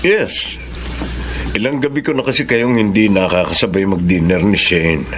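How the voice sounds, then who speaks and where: An actor playing an attentive, smooth-talking husband in a radio drama, with a Filipino accent. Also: male voice, on the low side, normal speed, a bit bright, slight background noise, natural voice. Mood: affable, domestic.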